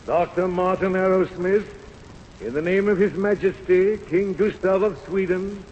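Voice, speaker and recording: Stately official in a reverberant hall. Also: male voice, high voice, fairly even intonation, unhurried, over music. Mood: solemn, ceremonious. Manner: stately, ceremonious, declamatory. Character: formal, solemn male Nobel committee official presenting a prize. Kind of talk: 1930s radio acting, ceremonial speech. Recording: muffled old radio recording, long hall reverb.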